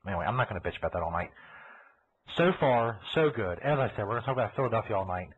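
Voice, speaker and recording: A man's voice: on the low side, animated, rapid-fire, husky. A man with a Kentucky accent hosting a live internet radio show, rambling in casual, profane chat and laughing as he goes. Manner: profane, laughing, talks over himself. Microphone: computer mic over a call line. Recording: tinny phone-like internet broadcast.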